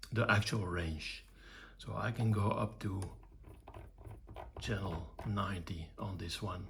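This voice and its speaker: An older man giving an informal technical demonstration, explaining things in short, halting, measured phrases. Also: low-pitched, gravelly, a little hiss or hum. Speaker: electronics hobbyist presenting own project.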